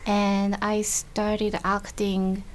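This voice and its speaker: A woman's voice: mid-range voice, completely flat delivery. Japanese-accented woman recounting her start. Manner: halting, searching for words, storytelling. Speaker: comedian guest. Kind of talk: interview chat.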